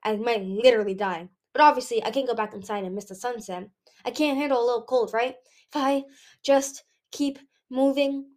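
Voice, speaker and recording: A woman's voice: fairly high pitch, fairly even intonation, moderate pace, a little mellow, close to an everyday voice. A woman voicing a bubbly, rebellious young female dragon in a storybook, teasing, exclamatory and dramatic. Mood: restless, dramatic.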